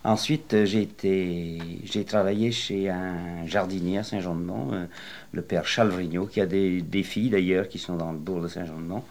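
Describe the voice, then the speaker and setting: A retired man in his late sixties from western France, telling his life story in an interview, rambling and hesitant with many pauses. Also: deep voice, fairly even intonation, a little mellow. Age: 60s. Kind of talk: oral history interview.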